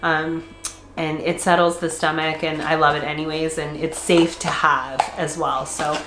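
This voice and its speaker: A woman's voice: deep voice, flat delivery, moderate pace, background noise. A congested woman vlogger chatting casually, laughing often and filling her speech with fillers. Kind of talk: casual chat. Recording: echoey room.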